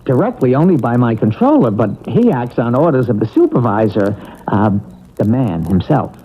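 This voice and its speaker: An actor playing a flustered, apologetic, newly dead spirit clerk in a 1970s radio drama, hesitant and stammering. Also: male voice, medium pitch, very animated, moderate pace, breathy edge. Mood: fussy, insistent. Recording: dull vintage radio drama broadcast.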